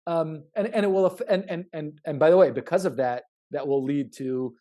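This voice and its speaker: A man explaining his research in podcast conversation, halting and repetitive, often stressing points and checking in. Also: fairly high pitch, dark tone.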